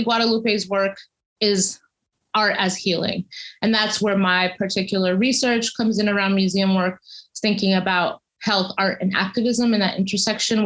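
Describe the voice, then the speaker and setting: This is a woman being interviewed as a cultural consultant and academic. She explains things conversationally but carefully. Her sentences are long, measured and articulate, full of detail. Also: medium pitch.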